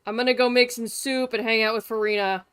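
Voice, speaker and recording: A woman's voice: on the high side, steady tone, fast talker, fairly bright tone, clear, resonant tone, dry recording. A woman giving casual, sweary, blunt and joking live commentary as a game streamer.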